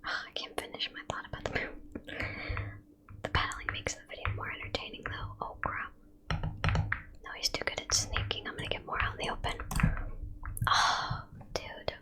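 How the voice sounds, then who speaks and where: A young woman whispering a rambling, chatty commentary while playing a video game, quick to get frustrated with opponents. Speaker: gaming video creator.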